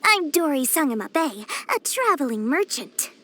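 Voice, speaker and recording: A woman's voice: high voice, big pitch swings, unhurried, a bit bright, heavily acted. A woman voicing a small, cheerful, greedy young female merchant for a video game, with theatrical, sing-song sales patter. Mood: eager, salesy. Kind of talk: animated video game voice acting.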